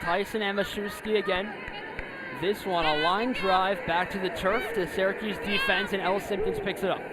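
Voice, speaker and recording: A high-voiced American man calls a live lacrosse game. He speaks in clipped, present-tense bursts over a loud crowd and heavy outdoor stadium noise. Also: steady tone, normal speed. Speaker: play-by-play announcer. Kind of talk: live lacrosse play-by-play commentary.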